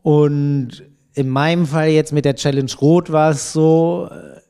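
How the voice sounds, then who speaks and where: Brief: young adult man, podcast guest, dark mellow tone, laid-back and hesitant. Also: on the high side, measured pace, clean tone. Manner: relaxed, many fillers, thinks aloud. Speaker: podcast guest, triathlon editor. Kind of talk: casual podcast conversation.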